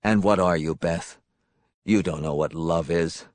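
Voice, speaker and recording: A man's voice: low-pitched, measured pace, no room sound, voice pushed down. A man voicing a hateful, self-pitying young man, a jilted obsessive lover in a story, bitter and accusing. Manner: bitter, accusing, pleading, worked up.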